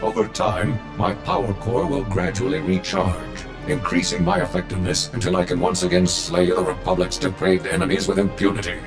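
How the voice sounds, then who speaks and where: Deep, bombastic war droid. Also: male voice, a little fast, few pauses. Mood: zealous, proud. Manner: grandiose, formal declarations. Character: bombastic patriotic male war droid, zealous and bloodthirsty. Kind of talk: video game voice acting. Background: music.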